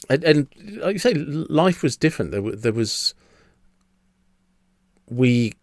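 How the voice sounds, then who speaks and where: A man in his fifties speaks in a fairly low voice, hosting a casual podcast chat. He rambles, repeating words and restarting sentences. The sound is dry and close to the mic. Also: no echo. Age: 50s. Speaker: podcast host. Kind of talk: casual conversational interview.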